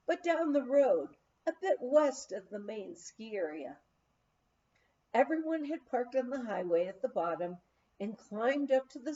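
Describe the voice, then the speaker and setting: A woman reading a memoir aloud in an expressive, measured storytelling manner. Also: a little high. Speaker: audiobook reader. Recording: dull, lo-fi sound.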